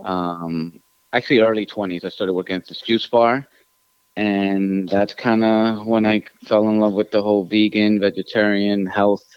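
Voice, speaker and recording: A man from Queens, a restaurant owner talking casually as a guest, rambling with lots of fillers and restarts and jumping up in pitch when he gets animated. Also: dry recording, lo-fi sound. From New York City, Queens, Ecuadorian family. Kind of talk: casual interview talk.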